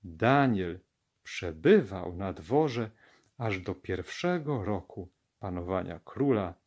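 A man reading Bible scripture aloud as a narrator for children, with measured, deliberate enunciation. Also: low-pitched, animated, a little mellow. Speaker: Bible story reader.

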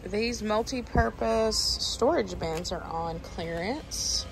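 A woman's voice: medium pitch, takes it slowly, gravelly, soft background music, poor audio. A woman with a Southern US accent chatting casually as a shopping vlogger, informal and gushing about products and prices. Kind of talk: casual vlog chat, remarking on products and prices.

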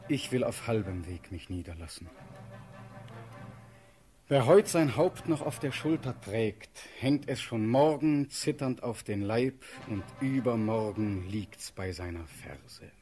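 An actor playing a brooding, proud young prince in a classic verse radio drama, with solemn, declamatory delivery. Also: male voice, lowish voice, expressive, slow, dark tone, faint music behind.

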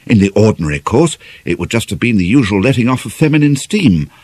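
Expressive British male narrator, slightly husky. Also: on the low side, quick pace. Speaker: audiobook narrator. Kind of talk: dramatic scripted novel narration.

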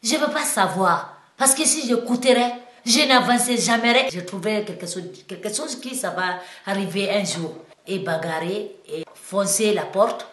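A woman's voice: medium pitch, normal speed, hardly any pauses, fairly bright tone. Echoey Guinean woman, broken French. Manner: simple, broken French with frequent restarts, growing heated and emphatic. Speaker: singer and musician. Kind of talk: interview.

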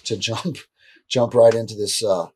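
A middle-aged man as an interview guest, telling stories in a rambling, animated way with frequent restarts, seated indoors. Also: on the low side, unhurried, husky. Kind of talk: casual storytelling in a shoot interview. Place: indoor room, seated on a leather couch.

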